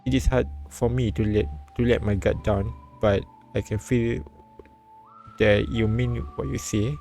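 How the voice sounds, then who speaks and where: A man live-streaming a visual novel, reading it aloud haltingly and often repeating words. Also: lowish voice, little pitch movement, creaky. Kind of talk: read-aloud narration with casual asides. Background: soft game music.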